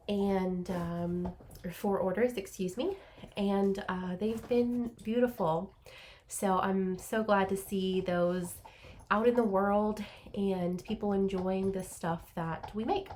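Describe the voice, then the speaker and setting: A woman's voice: mid-range voice, a little mellow, slight background noise. A young woman hosting a craft podcast from her small home office, chatting casually and rambling with lots of ums, a little congested, recorded on a laptop's built-in mic. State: congested from allergies. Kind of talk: casual solo chat to camera.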